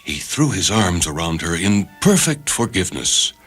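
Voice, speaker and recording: A man reading a short story as narrator, in a measured, literary, dramatic scripted style. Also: sits low, very animated, fairly bright tone, slightly rough. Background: music.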